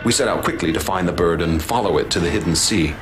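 A man narrating and acting as expedition leader in a radio drama, grave and measured. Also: sits low, music in the background.

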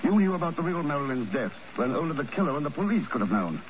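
A man's voice: a little high, big pitch swings, quick pace. A man playing a cool, resolute secret agent in a 1950 radio drama, composed, clipped and authoritative. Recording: muffled, noisy old radio broadcast.

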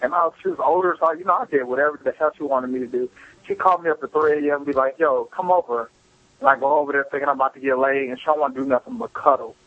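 A man's voice: on the high side, rapid-fire, dry recording. A young man leaving a voicemail for a show, telling casual personal stories in a candid, self-deprecating, profane way. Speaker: listener calling a podcast voicemail. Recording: muffled lo-fi voicemail with music.

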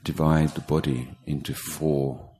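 An extremely low-pitched man guides a meditation. He speaks slowly and carefully, breaking his instructions into short phrases with pauses between them. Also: little pitch movement, warm tone. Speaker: meditation teacher, Buddhist monk. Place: meditation retreat talk in Thailand.

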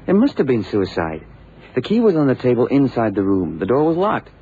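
A lively, plain-spoken sidekick to a private eye. His delivery is slangy and direct, swinging in pitch, in vintage radio drama style. The sound is muffled with faint noise. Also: fairly quick. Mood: insistent. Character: brisk, plain-spoken American detective's assistant, male, loyal. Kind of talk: 1960s-70s radio drama acting. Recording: muffled old radio broadcast, faint hiss.

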